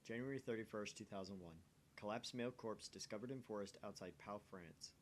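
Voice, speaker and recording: A man with a fairly low voice reads game narration and lines of dialogue aloud. The recording is homemade, with a noticeable low rumble of noise behind him. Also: a little fast. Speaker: amateur voice reader of a visual novel.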